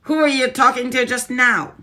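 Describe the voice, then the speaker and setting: A woman's voice: fairly low pitch, lively intonation, a little slow, sharp tone, slightly rough, voice pushed up, heavily acted. A woman voicing a fierce, commanding female witch leader who snaps out her orders. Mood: imperious. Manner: snapping, imperious.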